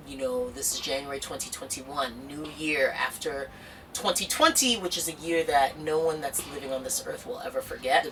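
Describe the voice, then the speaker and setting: A woman's voice: sits low, pushed and bright, recorded from a distance, a little hiss or hum, rough recording. A young woman talking about her music in an earnest, rambling interview full of fillers and restarts. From United States. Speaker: singer-songwriter. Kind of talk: casual documentary interview.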